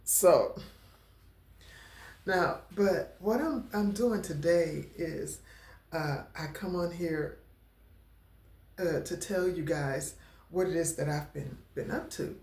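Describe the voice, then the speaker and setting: A woman vlogger who talks slowly and haltingly, with a stutter and many fillers, and gets emotional at times. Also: sits low, a little mellow, echoey room. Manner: emotional, near tears at times. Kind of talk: personal talking-head vlog.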